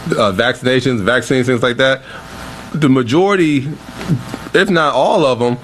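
A man's voice: medium pitch, moderate pace, a little hiss or hum, low-quality recording. A man who produces a radio talk show, chatting casually on air; he gets frustrated and repeats words when heated. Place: radio studio.

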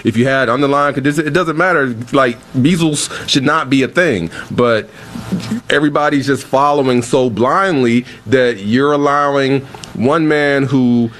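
A man's voice: mid-range voice, normal speed, a bit bright. A man who produces a radio talk show, chatting casually on air; he gets frustrated and repeats words when heated. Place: radio studio.

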